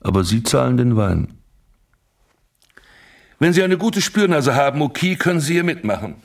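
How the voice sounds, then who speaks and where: A grumpy, skeptical police commissioner in a radio drama. His mid-pitched voice rises and falls sharply as he grumbles and exclaims bluntly. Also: very animated, moderate pace, creaky. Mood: skeptical, brisk. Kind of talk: German radio play acting.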